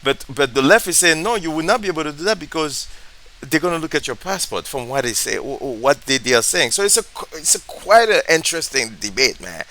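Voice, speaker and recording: Bright-voiced man thinking aloud. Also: fairly high pitch, lively intonation. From Haiti. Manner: halting, thinking aloud, searching for words. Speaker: political commentary vlogger. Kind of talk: casual reaction commentary.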